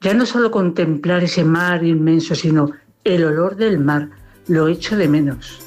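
A woman's voice: lowish voice, unhurried, darkish tone, soft background music. A woman leaving a hesitant, casual voice message for a radio show. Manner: hesitant, with fillers. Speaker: listener sending a voice message.

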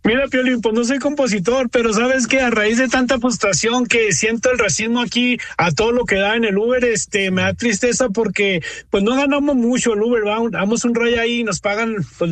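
A man calling in to a radio show, telling his story in a halting way and searching for words. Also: very high-pitched, fairly bright tone, no room sound. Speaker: caller, rideshare driver.

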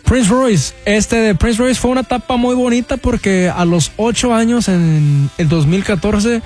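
Young man, high voice, hesitant. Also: unhurried, darkish tone, soft background music. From Houston, Texas, with Mexican roots. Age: young adult. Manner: hesitant, many fillers like "este, de" and "¿cómo se dice?". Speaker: singer guest. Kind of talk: casual interview.

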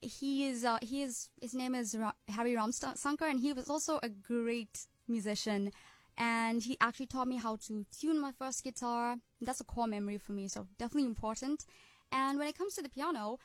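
Radio guest: bright young woman with an American-sounding accent, cheerful and chatty, slightly high, dry close mic. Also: no echo. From South Africa, Durban. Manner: cheerful, laughs often, quick asides. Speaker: guest musician. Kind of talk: radio interview.

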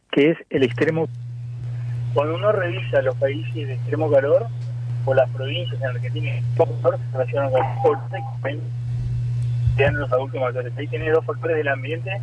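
Argentine man explaining, slightly high, lo-fi sound. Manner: didactic, enumerating points. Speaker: doctor, guest expert. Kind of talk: explanatory interview answers. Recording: dull lo-fi remote link, faint noise.

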